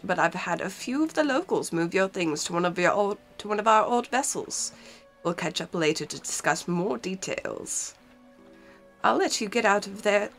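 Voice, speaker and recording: A woman with a stuffy cold reads out a short, fussy harbor mayor from a game, slightly raised and lively in tone. Faint game music hums underneath. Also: mid-range voice. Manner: read aloud from on-screen text.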